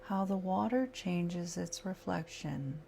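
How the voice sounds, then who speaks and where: A woman leading a guided meditation in a slow, soft, soothing way with long pauses. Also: fairly low pitch, vocal fry, a little hiss or hum.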